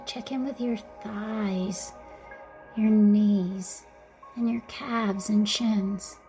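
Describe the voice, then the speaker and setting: A woman guiding a mindfulness meditation in a gentle, soothing, softly spoken way, with breathy phrase endings. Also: medium pitch. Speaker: meditation guide. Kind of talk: guided mindfulness meditation narration.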